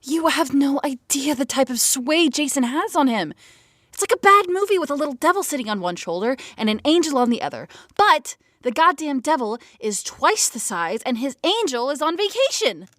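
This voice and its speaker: High-voiced sarcastic teenage girl, played by a woman. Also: sing-song, moderate pace, fairly bright tone, dry recording. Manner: dry sarcasm. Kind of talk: audio drama acting.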